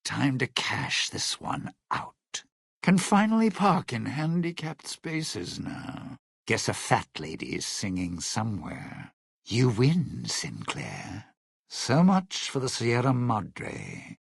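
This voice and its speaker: Casting: a world-weary, sardonic showman in a video game; husky male voice, dry close sound, old-time slang and sly patter. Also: medium pitch, huge pitch swings, slow pace, no room sound, full character voice. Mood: weary, wry. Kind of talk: video game companion voice lines.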